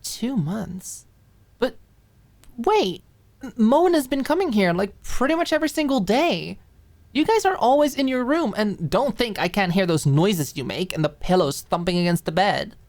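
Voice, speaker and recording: A woman voicing an excitable, confident, gossipy young woman in a dramatized audiobook, gushing and slangy. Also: medium pitch, moderate pace, pitched above the performer's own voice, natural voice. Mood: shocked realization. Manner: gushing, dramatic, teenage-style slangy delivery. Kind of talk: dramatized audiobook character acting.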